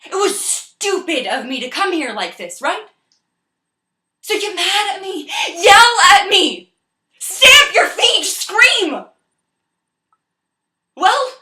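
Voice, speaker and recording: A woman's voice: sits high, very animated, normal speed, few pauses, fairly bright tone. An actress playing a devoted young woman who speaks earnestly and pleads, then urges with force. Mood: fierce. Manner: earnest and pleading, turns fiercely urging. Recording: echoey room.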